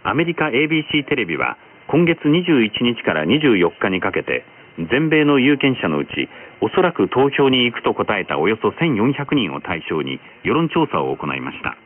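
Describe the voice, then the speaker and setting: Male radio news announcer, formal scripted read, very lively intonation, thin shortwave sound with static. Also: mid-range voice. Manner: formal, measured delivery. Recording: noisy, narrow-band shortwave radio reception. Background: radio static and noise.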